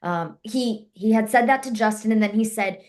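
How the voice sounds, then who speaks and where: Woman, slightly high voice, animated storyteller, acts out quoted dialogue, casual interview guest. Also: moderate pace. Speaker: actress and martial artist, interview guest.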